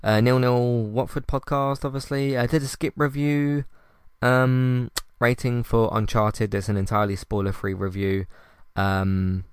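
A man hosting a solo podcast, talking in a calm, conversational way with frequent fillers and restarts. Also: medium pitch, unhurried, clear, resonant tone, dry recording, studio quality. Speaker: podcast host.

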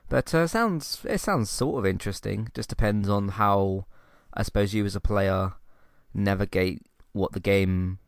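A man's voice: on the low side, unhurried, creaky, clear, resonant tone, no echo, studio quality. A man with a cold, sounding sniffly, hosting a casual podcast chat with many ums and restarts. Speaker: podcast host.

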